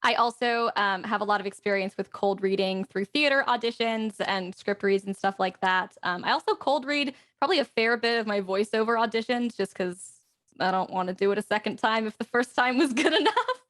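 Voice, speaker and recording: A woman's voice: on the high side, fairly quick, fairly bright tone. A woman voice actor chatting casually about herself as a panel guest. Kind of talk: casual chat.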